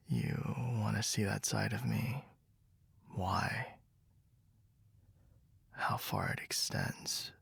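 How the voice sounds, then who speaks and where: A young man voicing a jealous, possessive best friend in a whispered roleplay, speaking low and breathy with frequent sighs, close to the microphone. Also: a bit bright, dry recording, exaggerated voice. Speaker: ASMR roleplay voice actor. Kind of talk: whispered ASMR boyfriend roleplay.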